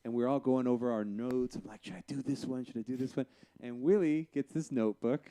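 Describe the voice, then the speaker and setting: A man at a studio mic hosts a loose, joking podcast conversation. His voice is smooth and clear at a middling pitch. He rambles and restarts his sentences as he tells the story. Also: steady tone, fast, dark tone. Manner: rambling, restarts often, laughs at his own jokes. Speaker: podcast host. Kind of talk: casual chat. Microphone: headphones and studio mic.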